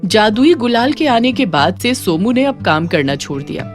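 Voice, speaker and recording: A woman's voice: on the high side, sing-song, normal speed. A woman narrating a scripted children's moral story. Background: music.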